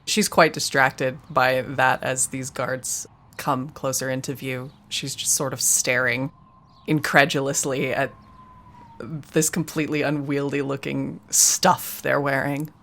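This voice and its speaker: A woman's voice: extremely low pitch, little pitch movement, a little slow, fairly bright tone. A woman playing a tabletop game, improvising narration of what her character does.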